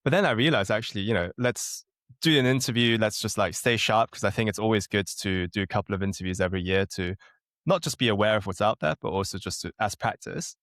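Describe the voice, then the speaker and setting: A man in his late twenties from Germany is a guest on a podcast, telling his story in a relaxed, self-deprecating way. His voice sits fairly low. It is recorded close and dry. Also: fast, no room sound. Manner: relaxed, self-deprecating, chuckling now and then. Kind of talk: casual interview chat.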